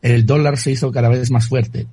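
Hesitant Italian man, husky dark voice. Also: medium pitch, fairly even intonation, moderate pace, dry recording. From Italy. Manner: hesitant, repeats words while searching for terms. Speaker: guest. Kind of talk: radio interview answer.

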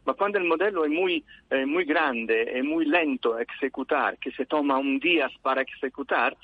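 A man's voice: mid-range voice, no room sound. Italian man on a tinny phone line. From Italy. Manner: halting, many fillers and restarts, mixes in Italian words. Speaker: guest researcher. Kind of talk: phone interview.

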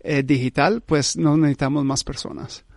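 Gravelly Costa Rican man, radio guest. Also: fairly high pitch, fairly even intonation, moderate pace, no echo, clean studio sound. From Costa Rica. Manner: agreeable, repeats "correcto", many filler "eh"s. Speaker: startup founder.

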